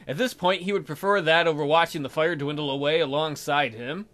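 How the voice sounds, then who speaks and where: Guy doing a lets play, kinda high bright voice, dramatic reading plus casual comments. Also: fairly quick. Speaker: let's play host.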